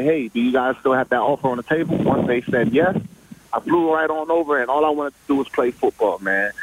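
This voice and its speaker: A man's voice: mid-range voice, poor audio. A man in his twenties, born in Liberia, telling his story casually on a phone interview, with many restarts. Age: 20s. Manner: casual, rambling, with repeated restarts. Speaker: football player guest. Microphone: phone call.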